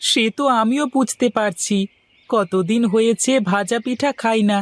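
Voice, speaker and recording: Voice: medium pitch, a little slow, close to an everyday voice. A voice actor playing an easygoing young village man in a cartoon, chatting good-naturedly. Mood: chatty. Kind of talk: cartoon voice acting.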